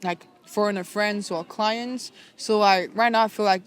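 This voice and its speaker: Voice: mid-range voice, fairly even intonation, moderate pace. A podcast co-host from Shanghai with a Chinese accent, speaking English as a second language, hesitant and searching for words with many fillers. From Shanghai, China. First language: Chinese (Shanghainese, Mandarin). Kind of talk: casual conversational chat.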